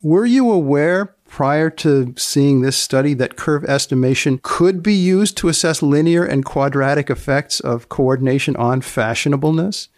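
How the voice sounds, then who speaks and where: A man hosting a podcast, asking short probing questions and reading scripted narration in a deadpan way. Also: on the high side, moderate pace, hardly any pauses, dry recording, studio quality. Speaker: podcast host.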